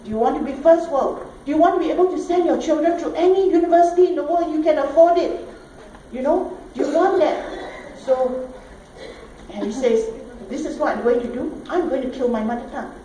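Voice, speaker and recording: A woman's voice: sits high, fairly quick, mellow. A middle-aged woman from Singapore with a Singaporean accent, giving an emphatic conference talk full of rhetorical questions and checks like "all right?". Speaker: conference speaker. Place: conference hall. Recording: echoey hall, distant mic, faint noise.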